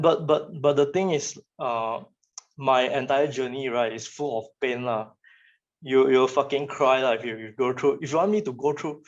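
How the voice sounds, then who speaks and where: A man's voice: mid-range voice, muffled recording. A young man from Malaysia with a Malaysian English accent, Chinese his first language, giving a casual motivational talk to students and often repeating words as he restarts.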